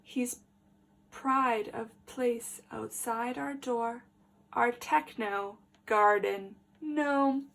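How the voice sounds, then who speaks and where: A woman teacher reading a picture book aloud to young children, expressive and emphatic, addressing them directly with questions. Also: a little high, drawn-out words, gravelly, a little hiss or hum.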